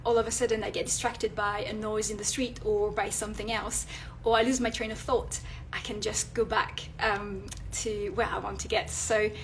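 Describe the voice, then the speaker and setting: A woman with a French accent, French her first language, giving tips on a live video and pausing often with fillers and restarts. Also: medium pitch, a little hiss or hum, low-quality recording. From France. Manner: hesitant, many fillers and restarts. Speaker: illustrator and vlogger. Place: indoors at home, near a street.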